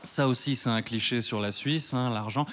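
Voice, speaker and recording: A man in his thirties from Switzerland doing a stand-up set on a large theater stage with a handheld mic. He talks in a wry, self-mocking way and works up to loud mock outrage. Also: medium pitch, normal speed, slight background noise. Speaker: stand-up comedian. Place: large theater stage before a live audience.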